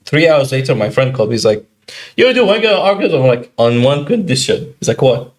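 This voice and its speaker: A man co-hosting a podcast, telling a video game story in a casual, animated way and acting out what other players said. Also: medium pitch. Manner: animated, quick, acts out quoted players. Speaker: podcast co-host.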